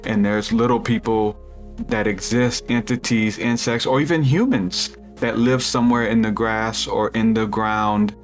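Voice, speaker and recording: A man with a deep voice hosting a podcast, rambling through a spiritual theory in casual chat with lots of restarts. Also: steady tone, measured pace, faint music behind. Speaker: podcast host.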